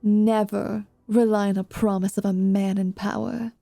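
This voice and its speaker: Woman playing a slow, mellow, sardonic vampire paladin. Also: mid-range voice, little pitch movement, vocal fry, dry recording, studio quality, faint music behind. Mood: scornful. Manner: sardonic, haughty. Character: proud, spiteful, teasing half-vampire female paladin. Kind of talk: fantasy audio roleplay.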